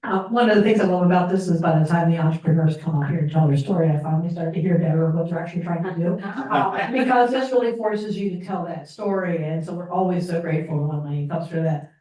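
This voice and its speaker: Organizer, mellow tone, meandering comments, heavy hall echo from afar. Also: mid-range voice, steady tone, quick pace. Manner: meandering with fillers. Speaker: event organizer. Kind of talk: commenting at a pitch event. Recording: echoey hall, distant mic.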